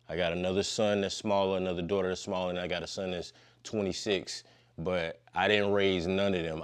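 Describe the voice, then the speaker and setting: Deep-voiced laid-back man in his fifties. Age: 50s. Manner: laid-back, slangy, long-winded. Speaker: podcast guest. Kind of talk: casual conversation.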